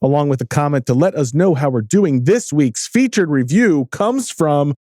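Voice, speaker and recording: A man's voice: on the high side, expressive, moderate pace, a little mellow, no room sound. Upbeat male podcast host, dry close sound. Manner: upbeat, reads promos and bios. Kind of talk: casual podcast hosting.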